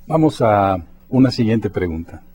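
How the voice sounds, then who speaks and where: A man's voice: lowish voice, very animated, normal speed, warm tone. A man hosting a university television talk show and addressing viewers politely. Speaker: TV host.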